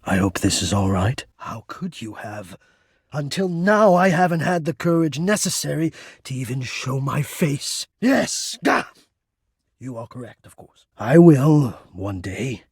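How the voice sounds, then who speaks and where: A man voicing a shy, devoted, lovesick home heating system for a romance video game, speaking in a halting, intimate and earnestly formal way. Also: mid-range voice, very animated, slightly rough, dry recording, clean studio sound, full character voice. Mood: pleading, devoted.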